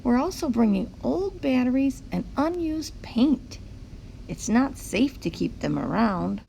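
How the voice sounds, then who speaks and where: A woman's voice: high voice, sing-song, unhurried, gravelly, warm tone, a little hiss or hum, low-quality recording. A woman reading a picture book aloud to young children, explaining things simply like a teacher. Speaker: teacher-like read-aloud host.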